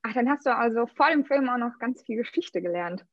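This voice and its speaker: A woman interviewing in a friendly, chatty way, often pausing with hesitant fillers. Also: a little high, lively intonation, dry recording, highs cut off. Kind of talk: casual interview questions.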